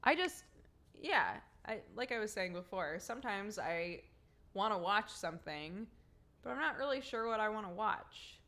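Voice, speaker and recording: A woman's voice: medium pitch, lively intonation, moderate pace, a bit bright. A young woman streaming, chatting casually with viewers, slightly congested from a cold, rambling and laughing often.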